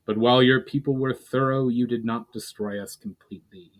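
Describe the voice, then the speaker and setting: An actor's elderly elven general from a fantasy storybook, voiced by a man. He is calm and grave, speaking in a measured, forgiving way. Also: medium pitch, lightly acted. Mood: solemn. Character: ancient, calm male elf general, solemn and forgiving.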